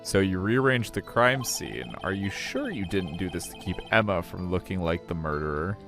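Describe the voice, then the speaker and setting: An earnest young defense attorney in a courtroom game, acted by a man. He questions with a very animated pitch while music plays behind him. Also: normal speed, vocal fry, exaggerated voice. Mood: pressing. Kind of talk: amateur video game voice acting.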